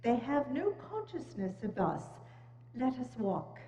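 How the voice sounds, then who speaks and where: A woman's voice: high-pitched, big pitch swings, a little slow, mellow. A woman playing a solemn, caring female spirit in a stately, declamatory stage reading. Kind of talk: theatrical dramatic reading. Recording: echoey church hall, dull sound, faint noise.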